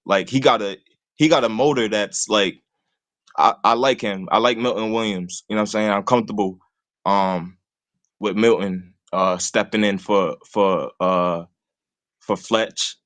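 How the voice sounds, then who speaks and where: A man's voice: fairly low pitch, unhurried, fairly bright tone. A man, talking sports casually and rambling, leaning on "you know what I'm saying" all the time. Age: 20s. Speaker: call-in guest host.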